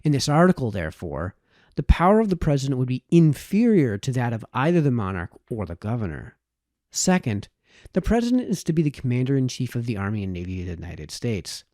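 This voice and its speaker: A man reading a formal historical text aloud as scripted narration, in measured, formal phrasing. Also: mid-range voice, sing-song, darkish tone, no room sound, studio quality. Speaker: audiobook reader.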